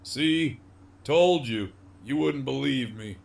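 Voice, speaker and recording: A man's voice: medium pitch, takes it slowly, creaky. A man playing a cocky, menacing, wryly amused imprisoned gang leader in an audio drama, with a swaggering, foul-mouthed drawl. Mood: sly, amused.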